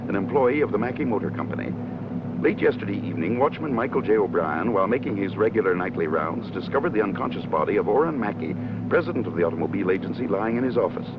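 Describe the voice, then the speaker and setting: An actor playing a radio newsreader giving a grave, formal police bulletin in a 1950s crime film. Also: male voice, fairly low pitch, lively intonation, quick pace, lightly acted. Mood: grave, urgent. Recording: muffled, very noisy old film soundtrack.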